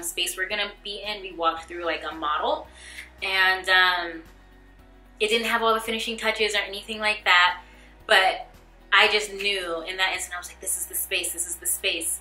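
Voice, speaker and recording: Young female vlogger, very bright tone, roomy bedroom echo, chatty and excited. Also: mid-range voice. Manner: chatty, excited, rambling with fillers. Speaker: lifestyle vlogger. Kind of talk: casual talk to camera. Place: bedroom at home.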